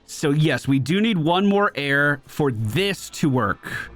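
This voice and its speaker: An excitable man streams a video game with casual, slangy commentary. His voice is fairly high for a man and jumps around in lively swings. Faint music runs behind him. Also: takes it slowly. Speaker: gaming streamer. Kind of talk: casual live gameplay commentary.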